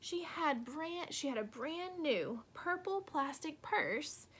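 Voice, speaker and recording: A woman reading a children's storybook aloud expressively, with deliberate dramatic emphasis. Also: sits high, lively intonation, fairly bright tone, a little hiss or hum. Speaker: storybook reader.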